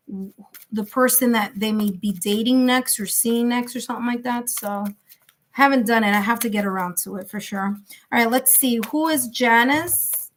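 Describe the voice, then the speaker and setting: A woman's voice: on the high side, a little hiss or hum. A woman with a New York accent giving live tarot readings in a chatty, reassuring way, thinking aloud with fillers. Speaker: tarot reader.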